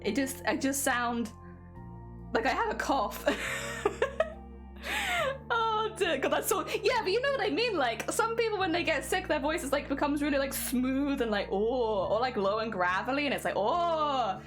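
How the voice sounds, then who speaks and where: A young woman talks casually as she sews on a live stream, rambling through filler words. Her fairly high voice swings up and down a lot, and a slight winter cough roughens it. Quiet music plays underneath. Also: expressive, moderate pace. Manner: chatty, rambling, lots of fillers. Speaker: craft streamer. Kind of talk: casual live-stream chat while sewing.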